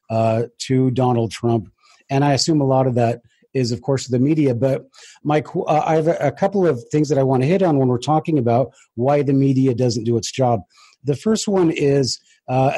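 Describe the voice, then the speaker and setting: A man's voice: mid-range voice, warm tone, slightly rough, dry recording. A man hosting an interview, putting questions and comments to his guest in a conversational style. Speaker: interview host.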